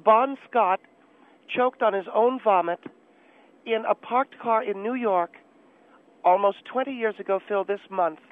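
Man putting on a high-voiced, condescending woman on the phone. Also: unhurried, pauses often. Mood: breezy, reminiscing. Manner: condescending, needling, self-righteous. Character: opinionated, condescending married woman radio guest. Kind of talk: talk radio debate in character. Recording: narrow band phone line.

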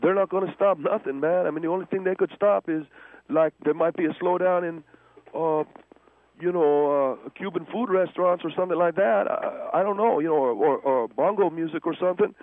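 A man's voice: a little high, brisk, no room sound. Male caller, rambling, casual slang, phone-line sound, dry. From United States. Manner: casual, rambling, says "man" and "you know" a lot. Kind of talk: radio call-in argument.

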